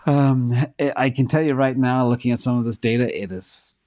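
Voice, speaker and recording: A middle-aged man with a dark, mellow voice hosts a casual livestream. He is hoarse from losing his voice. He talks loosely and thinks aloud with plenty of ums while checking numbers live. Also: medium pitch, normal speed. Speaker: host answering viewer questions.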